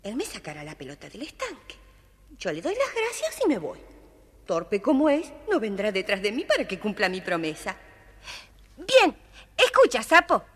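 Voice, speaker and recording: An actress playing a spoiled, bored, haughty little princess in an audio fairy tale, with a petulant, theatrical manner. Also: female voice, mid-range voice, wildly swooping pitch, normal speed, fairly bright tone, husky, exaggerated voice. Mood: scheming.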